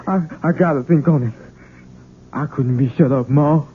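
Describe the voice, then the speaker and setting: An actor playing a simple-minded, gentle, troubled country servant with halting, childlike speech in a 1970s radio drama. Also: male voice, fairly high pitch, a little hiss or hum, natural voice. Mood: anguished, brooding. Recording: muffled vintage radio broadcast.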